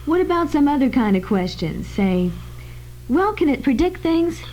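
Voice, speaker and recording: Lady playing nosy reporter in a radio play, highish lively voice, direct and persistent. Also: moderate pace, poor audio. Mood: curious, pressing. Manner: questioning, direct. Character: inquisitive, persistent female newspaper reporter. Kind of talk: amateur radio drama.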